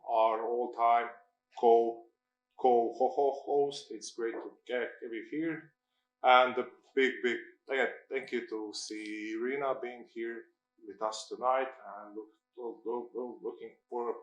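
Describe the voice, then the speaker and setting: A man's voice: mid-range voice, fairly even intonation, slow pace, muffled recording. Guy hosting a live talk, keeps tripping over words and saying like, mid voice, echoey room. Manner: stammering, repeats words, many "like" fillers. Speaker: event host, interviewer. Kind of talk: live panel interview.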